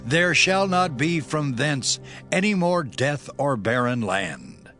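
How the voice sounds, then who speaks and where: An actor playing a solemn Hebrew prophet who declaims God's word slowly in an audio Bible drama. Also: male voice, a little high, big pitch swings, sharp tone, faint music behind, heavily acted. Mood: solemn, commanding.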